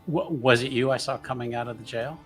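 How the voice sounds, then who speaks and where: A man playing in a tabletop roleplaying game, casual and halting, repeating himself. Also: mid-range voice, faint music behind.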